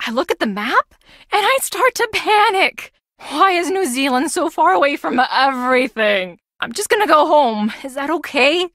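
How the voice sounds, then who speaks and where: A woman's voice: high-pitched, a bit bright, dry recording, studio quality, full character voice. An actress voicing an anxious, overwhelmed young woman in a video game, fretful and plaintive. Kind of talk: video game voice acting, confessional.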